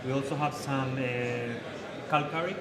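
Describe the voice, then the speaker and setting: A man with a Chilean Spanish accent, speaking on a wine panel, halting and searching for words. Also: mid-range voice, fairly even intonation, a crowd in the background. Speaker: winemaker panelist. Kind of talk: panel discussion. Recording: distant mic in a reverberant hall with audience.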